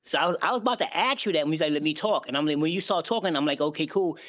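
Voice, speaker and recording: A man's voice: fairly high pitch, very fast, fairly bright tone, no echo, dull audio. A man, reassuring and slangy on a podcast panel, repeating his phrases. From Harlem. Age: 50s.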